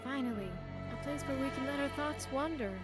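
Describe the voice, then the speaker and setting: A woman's voice: high voice, brisk, over loud music, close to an everyday voice. A woman voicing an earnest, grateful young survivor in a video game, chatty and sincere with slightly stilted phrasing. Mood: reflective, grateful. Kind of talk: video game character dialogue.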